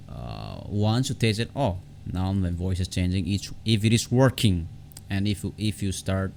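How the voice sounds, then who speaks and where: A low-pitched man walks through a software tutorial off the cuff. His voice has a gravelly creak, and he halts and repeats phrases as he goes. There is clear background noise on the recording. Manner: halting, repetitive, often restarting phrases. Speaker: tutorial host.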